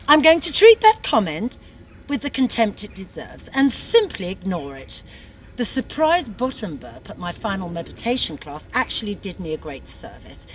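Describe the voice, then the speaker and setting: A woman's voice: medium pitch, sing-song, moderate pace. A woman narrating comically in a haughty, dramatic way. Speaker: author reading a book aloud in character.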